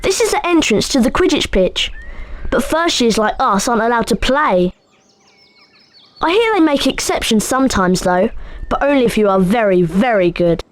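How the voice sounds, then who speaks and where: A woman playing a bright, eager, friendly schoolchild of about eleven in a video game. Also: fairly high pitch, very animated, moderate pace. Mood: eager, informative. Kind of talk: video game character acting.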